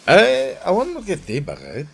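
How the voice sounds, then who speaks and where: An actor playing a boozy, excuse-making husband in an Ilocano radio drama, drawling between mumbles and exclamations. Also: male voice, sits high, huge pitch swings, measured pace, vocal fry, a bit bright, breathy edge, full character voice. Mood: grudging, surprised.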